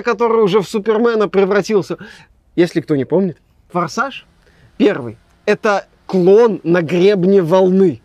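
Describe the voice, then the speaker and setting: Young male reviewer, high voice, sarcastic, rambling, dry close sound. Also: a little mellow, no room sound. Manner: sarcastic, rambling, trails off often. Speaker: game reviewer. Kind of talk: casual spoken game review.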